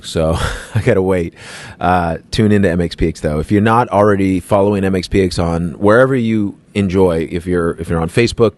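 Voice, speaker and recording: A man's voice: low-pitched, darkish tone. A man hosting his own podcast, talking in a loose, rambling, self-mocking way. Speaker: podcast host. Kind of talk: casual podcast chat.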